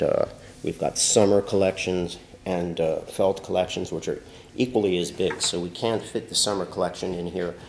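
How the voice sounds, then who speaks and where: A stuffy-nosed man talks about caps in a chatty, animated way. His voice is very low and gravelly. He is recorded on a camera sitting on the counter of a large shop, so the sound is roomy and has a faint hum behind it. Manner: chatty, animated, trying on hats while talking. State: stuffy from allergies. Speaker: hat shop owner. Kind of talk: casual product talk to camera. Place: large hat shop with wooden shelves, indoors. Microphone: camera on the counter, moves in and out of frame.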